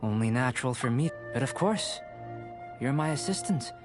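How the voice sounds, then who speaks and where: A man voicing a calm, cerebral young alchemist scholar in a video game, measured, formal and coolly detached. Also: mid-range voice, natural voice. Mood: matter-of-fact. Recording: music behind the voice.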